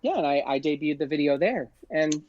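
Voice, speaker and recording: A man chatting casually as a podcast guest, telling stories in an animated way and acting out what people said. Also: a little high, brisk, muffled recording. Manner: animated, acts out quoted exchanges. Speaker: podcast guest, performer and producer.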